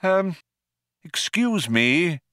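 Man putting on a fussy, wavering old gentleman. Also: fairly high pitch, big pitch swings, takes it slowly, dry recording, studio quality, voice pushed up, heavily acted. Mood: flustered. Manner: hesitant, courteous, indignantly lofty. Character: polite, fussy old man, proud craftsman, easily affronted.

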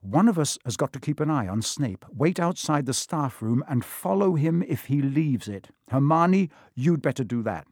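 Man playing a brave schoolboy, urgent, insistent, raised pitch, very expressive, storybook hero. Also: moderate pace, hardly any pauses, no echo, clean studio sound.

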